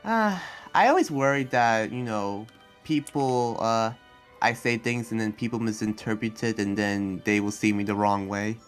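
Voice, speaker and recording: A man's voice: fairly low pitch, lightly acted. Rambling, earnest demon slayer played by a man. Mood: defensive, earnest. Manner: rambling, hesitant, halting explanations. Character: earnest demon slayer guarding his town. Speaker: roleplay player. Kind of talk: improvised Minecraft anime roleplay dialogue. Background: background music.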